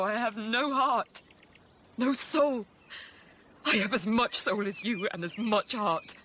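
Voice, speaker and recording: Young governess, plain-spoken and self-possessed, higher-pitched voice, earnest period radio drama delivery. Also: female voice, fairly bright tone, a little hiss or hum. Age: young adult. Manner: earnest, emphatic, formal period phrasing.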